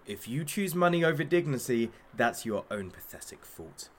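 A man's voice: mid-range voice, animated, normal speed, lightly acted. Man playing a theatrical, wildly swooping sadistic showman. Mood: cruel, taunting. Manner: theatrical, mocking showman, taunting. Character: masked male kidnapper hosting a sadistic hostage talk show. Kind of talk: audio drama, parody talk show hosting.

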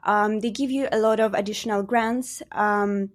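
A woman with a Ukrainian accent giving conversational interview answers as a startup guest, often pausing mid-phrase. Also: medium pitch, steady tone.

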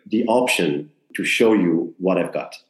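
A man's voice: low-pitched, expressive, a little slow. A man from Israel, an actor, talking earnestly in an interview and often restarting as he searches for words. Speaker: actor, guest. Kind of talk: conversational interview. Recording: roomy echo.

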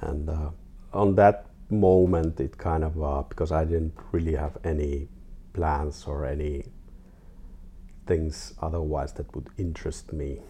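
A 42-year-old man from Finland with a Finnish accent, talking casually and haltingly as an interview guest. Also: a bass voice, steady tone, mellow, slightly rough. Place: artist's studio.

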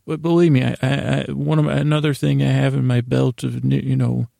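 A man hosting a bedtime podcast in a meandering, halting monologue with a gravelly voice, often interrupting himself. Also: medium pitch, little pitch movement, warm tone, no room sound, studio quality. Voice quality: gravelly, creaky. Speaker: podcast host. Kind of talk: rambling bedtime monologue to help listeners sleep.

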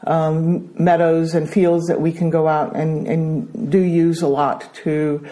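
A woman's voice: low-pitched, little pitch movement, takes it slowly. A middle-aged woman with an American accent, a school principal answering interview questions with many hesitations. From Brazil. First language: Danish. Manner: hesitant, many ums, warm about her work.

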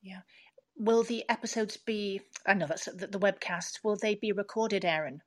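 A woman's voice: medium pitch, normal speed. A woman in casual podcast conversation, speaking in a supportive, encouraging way.

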